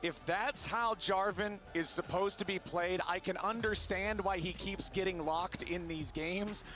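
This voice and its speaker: A man's voice: sits high, fairly even intonation, a little slow, a bit bright, faint music behind. A man casting an esports match, with polished broadcast delivery. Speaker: esports caster. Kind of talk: play-by-play commentary.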